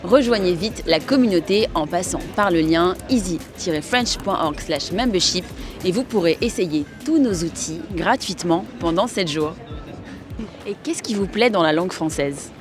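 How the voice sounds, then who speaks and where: A woman host interviewing passers-by in the street and reading a promo, asking measured, clear questions. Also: fairly low pitch, expressive, music in the background. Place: city street outdoors.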